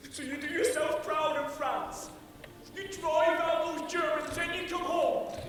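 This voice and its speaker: A man's voice: extremely high pitch, fairly even intonation, unhurried, fairly bright tone, a little hiss or hum. An actor playing a tender, devoted teenage farm boy in a stage drama, with earnest, projected delivery. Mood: urging, brave. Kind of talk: theatrical stage drama acting. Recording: echoing theatre hall, distant, music behind.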